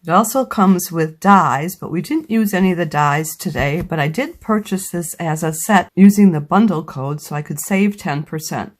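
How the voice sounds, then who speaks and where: Woman craft demonstrator, somewhat low voice with a slight scratchiness from a cold; patient, walks through each step.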